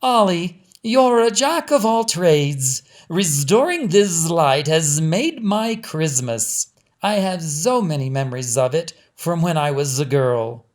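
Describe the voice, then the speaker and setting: A man voicing an effusive older woman in a storybook, with drawn-out singsong vowels and softened S sounds. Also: fairly high pitch, animated. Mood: gushing.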